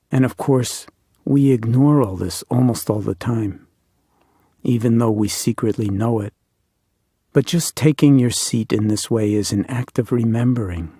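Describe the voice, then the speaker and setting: A man leading a guided meditation as a teacher, speaking in measured, deliberate phrases with reflective pauses. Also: on the low side, warm tone, slightly rough, dry recording, studio quality.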